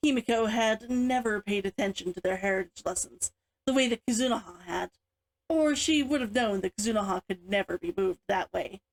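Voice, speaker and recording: A woman with a chirpy, expressive manner, speaking as an author hosting her own story read-along. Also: mid-range voice, a little fast, rough recording. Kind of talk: animated chat and story read-aloud.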